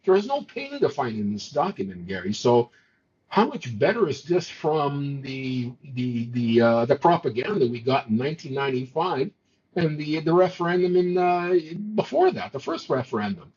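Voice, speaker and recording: A man's voice: mid-range voice, very animated, moderate pace, darkish tone, a little hiss or hum. Exasperated Montreal man, dull lo-fi sound. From Montreal, Quebec. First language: not English. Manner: exasperated, repeats and restarts words. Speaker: talk show co-host. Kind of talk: political commentary chat.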